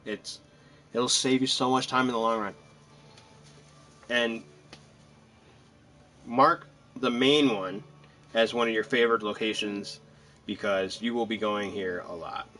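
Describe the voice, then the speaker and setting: A man's voice: fairly low pitch, steady tone, a little slow, long pauses, bright, forceful tone, faint music behind. A man giving casual gameplay commentary, rambling and joking as he thinks aloud. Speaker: gamer commenting on a playthrough.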